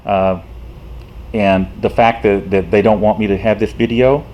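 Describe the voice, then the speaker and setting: A man, a citizen activist, talking casually to the camera in a frustrated, rhetorical way, halting often with fillers. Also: on the low side, fairly even intonation, dull audio, a little hiss or hum.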